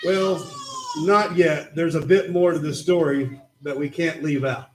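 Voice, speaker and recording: A man's voice: a little high, steady tone, measured pace, a little hiss or hum, poor audio. A man patiently telling a Bible story to children in a church puppet show. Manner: patient, gently explaining.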